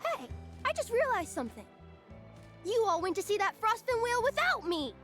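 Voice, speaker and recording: A woman's voice: very high voice, very animated, normal speed, loud music behind the voice. A woman voicing a curious, impatient young female student who teases and pleads in a video game. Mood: sulky, impatient.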